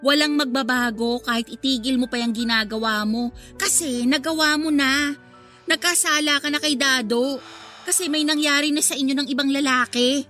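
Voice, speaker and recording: A woman's voice: high-pitched, fairly even intonation, normal speed, bright, forceful tone, faint music behind. A woman playing a blunt, brazen female friend in a Filipino radio drama, scornful and confrontational. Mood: annoyed, challenging.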